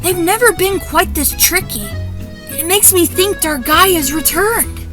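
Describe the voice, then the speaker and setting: Worried, sincere young boy fox sidekick, female actor, audio drama. Very high register over music. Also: a little slow. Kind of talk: audio drama character acting.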